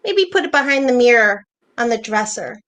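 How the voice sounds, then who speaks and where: High-voiced, teasing older wife, acted by a woman. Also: animated, normal speed, a bit bright. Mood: wistful, delighted. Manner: teasing, nostalgic, conversational. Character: playful, bossy older wife reminiscing with spouse. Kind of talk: staged reading of a short play.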